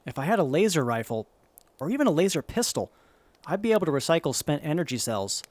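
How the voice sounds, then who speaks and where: A man's voice: on the high side, big pitch swings, quick pace, a little mellow, no room sound. A man playing an earnest, unsure wasteland survivor in an audio drama, speaking politely. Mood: earnest, self-doubting. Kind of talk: post-apocalyptic audio drama acting.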